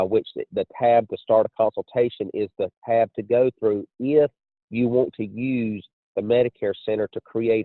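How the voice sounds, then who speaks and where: A man giving a measured, explanatory testimonial as an insurance agent on a conference call. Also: on the low side. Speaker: insurance agent and training director. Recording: muffled phone line with room echo.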